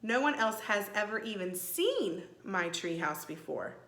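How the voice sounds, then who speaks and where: A woman voicing a calm, gracious old enchantress in a children's storybook, speaking in a measured, precise way. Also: mid-range voice, reverberant. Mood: kindly, explaining.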